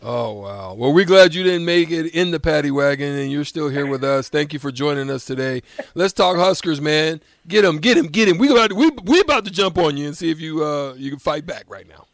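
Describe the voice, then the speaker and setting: A man's voice: on the high side, expressive, fairly quick, creaky, dry recording, clean studio sound. A man in his late forties co-hosting a sports radio show, bantering casually in a folksy, colloquial way and repeating words. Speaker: radio co-host.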